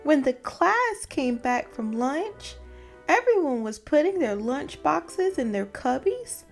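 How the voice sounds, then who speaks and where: Anxious, earnest little zebra boy for a storybook, played by a woman. Higher-pitched, very animated delivery, music underneath. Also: slow, vocal fry, exaggerated voice. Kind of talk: storybook character voice. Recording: music behind the voice.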